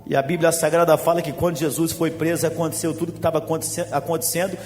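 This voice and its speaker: Male pastor, high voice, emphatic preaching with quiet jokey asides, long hall echo, faint music behind. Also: little pitch movement, fast. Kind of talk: sermon.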